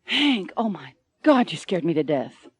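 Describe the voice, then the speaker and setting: A woman voicing a frazzled, overworked young male newsroom writer who speaks in a flustered, hurried way. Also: fairly low pitch, very animated, husky, no echo, heavily acted.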